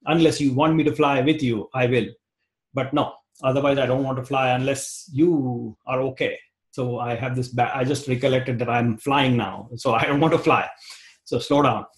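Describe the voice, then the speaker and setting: A man in his forties with an Indian accent, teaching an online class over a video call, talking quickly and restarting phrases. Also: mid-range voice, fairly even intonation. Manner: fast-talking, repeats words, restarts phrases. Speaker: instructor. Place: home room, indoors. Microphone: webcam on video call.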